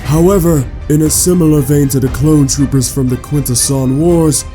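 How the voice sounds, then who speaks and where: A man's voice: fairly high pitch, normal speed, mellow, soft background music, natural voice. A man voicing a coldly logical robot scientist villain, dictating formal, precise audio logs with a clinical, condescending manner in a fan-made sci-fi drama.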